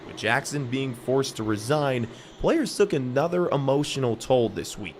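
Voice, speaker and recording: A man's voice: mid-range voice, animated, moderate pace. A man reading a scripted local sports news report as a reporter. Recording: noisy gym ambience behind. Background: bouncing basketballs, ambient noise.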